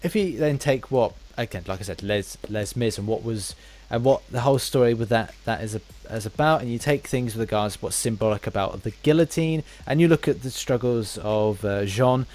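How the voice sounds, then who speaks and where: Halting male podcast interviewer. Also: medium pitch, darkish tone. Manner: halting, tentative phrasing with stumbles. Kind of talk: casual interview chat.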